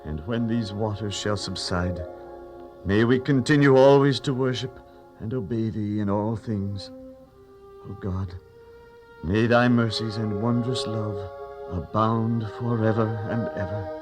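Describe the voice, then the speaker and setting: An actor playing a devout, grave patriarch praying with solemn, archaic diction in a dramatized Bible story. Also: male voice, on the low side, unhurried, music in the background, natural voice. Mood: solemn, thankful. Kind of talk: dramatized audio Bible story, formal prayer.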